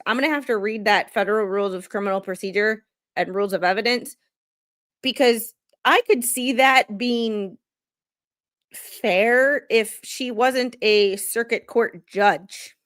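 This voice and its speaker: A woman hosting a livestream as a non-lawyer commentator, reading legal filings aloud haltingly and chatting about them in an informal, self-deprecating way. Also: a little high.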